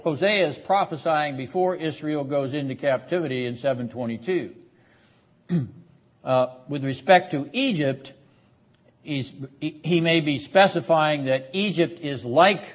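A man lecturing on the Bible in a classroom, explaining didactically with rhetorical questions and frequent throat clearing. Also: fairly high pitch. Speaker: seminary lecturer. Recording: slightly dull classroom recording.

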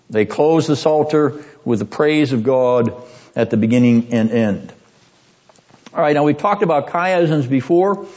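A man teaching a seminary class on biblical poetry, deliberate and emphatic, repeating his points and often clearing his throat. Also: a little high, darkish tone. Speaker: seminary lecturer. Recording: dull lo-fi classroom recording.

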